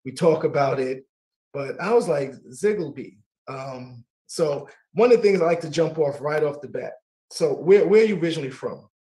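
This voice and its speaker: A middle-aged man hosting a casual talk radio show, warm and laughing easily, often repeating his words. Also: on the high side, animated, moderate pace, rough recording.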